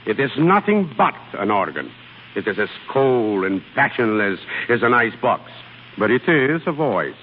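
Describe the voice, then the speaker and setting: An actor playing an exacting, sardonic European singing teacher in theatrical 1930s radio style, dry and critical. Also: male voice, mid-range voice, very animated, measured pace. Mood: scornful, exacting. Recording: tinny, echoing, noisy 1930s radio recording.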